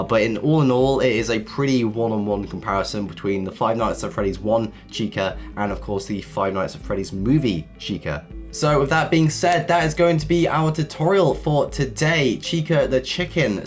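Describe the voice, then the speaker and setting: Slightly raspy man hosting a tutorial. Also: mid-range voice, moderate pace. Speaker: host of a Minecraft build tutorial series. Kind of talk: video tutorial narration. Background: background music.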